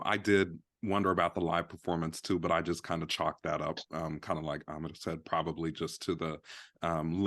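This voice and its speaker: A man with a very low voice speaks eagerly in a casual panel chat, agreeing warmly with what came before. The sound is dry and close. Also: no room sound. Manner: enthusiastic, agreeable.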